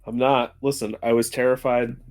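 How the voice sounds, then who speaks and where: A man's voice: medium pitch, flat delivery, moderate pace, a little mellow, faint music behind. A man talking casually as a player in a tabletop roleplaying game.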